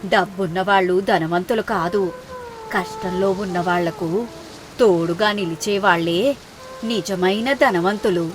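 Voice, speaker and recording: A woman's voice: lowish voice, a little slow, noisy background, pitched below the performer's own voice, close to an everyday voice. Woman voicing an earnest old village grandmother in a storybook. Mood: grateful, wise. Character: old village woman, desperate then wisely grateful. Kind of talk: moral story character voice.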